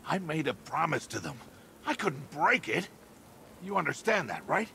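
A man's voice: a little high, big pitch swings, moderate pace, creaky, sharp tone, a little hiss or hum, heavily acted. An actor playing a guilt-ridden fire chief in a video game, loyal to his crew, earnest and pleading. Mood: defensive pleading.

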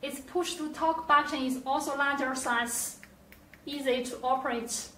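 A woman presenting a scripted product demonstration, with careful, halting, non-native phrasing. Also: high-pitched, steady tone, bright, forceful tone. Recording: roomy echo, distant mic, faint noise.

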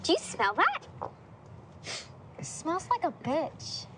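A woman voicing a taunting, bratty cartoon troublemaker in a mocking, drawn-out way. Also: extremely high pitch, huge pitch swings, full character voice. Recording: noisy clip audio with clicks.

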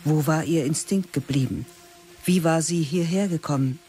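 A man narrating a radio play in a literary style, terse, measured and sober. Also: fairly high pitch, dark tone, soft background music.

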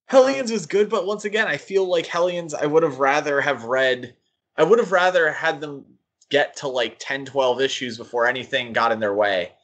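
A man co-hosting a podcast, giving casual critical commentary in a halting, thinking-aloud way. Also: fairly high pitch, fairly bright tone. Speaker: podcast co-host. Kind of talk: casual critical comics discussion.

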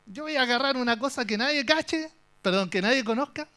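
A man's voice: very high-pitched, fairly quick, clean tone. A young man with a Chilean accent giving a humorous tech talk on a conference stage, telling the story with jokes and asides. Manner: animated, joking storyteller. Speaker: conference speaker.

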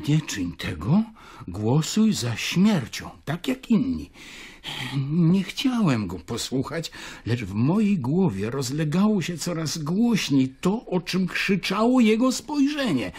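Zachrypnięty, uduchowiony judejski dostojnik. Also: male voice, fairly high pitch, a little mellow, husky, full character voice. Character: troubled, devout Judean councillor, solemn and mystical. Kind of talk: literary audio drama acting.

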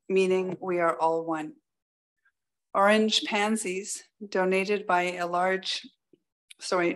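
A woman reading scripted school district news aloud as a trustee at a meeting. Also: lowish voice.

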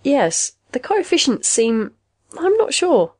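A robot therapist voiced by a woman reader for an audio story, earnest and confident. It lectures in formal clinical language, soothing yet pedantic, with a lively rise and fall. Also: medium pitch, fairly quick, close to an everyday voice. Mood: hesitant, confused.

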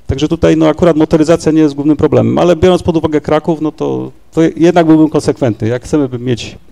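A man speaks on a discussion panel in a gravelly, dark voice, a little higher than most men. He rambles and hesitates, repeating words and tagging questions onto his sentences. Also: steady tone, fast. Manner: rambling, hesitant, many fillers and tag questions. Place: large university lecture hall.

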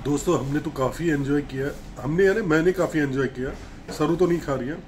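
Chatty male food vlogger, slightly high voice. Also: brisk, darkish tone. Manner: chatty, savoring food with little exclamations. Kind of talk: casual food review vlog. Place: restaurant breakfast buffet. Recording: restaurant background noise. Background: restaurant ambience.

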